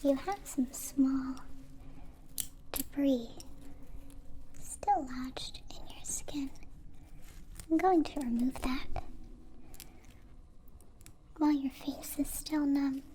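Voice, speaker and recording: A woman playing a gentle, curious young alien in an ASMR roleplay, mostly whispering in slow, halting, formal phrases. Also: high-pitched, slight background noise. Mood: gentle, attentive. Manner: slow, halting, formal wording without contractions. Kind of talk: whispered ASMR roleplay, close personal attention.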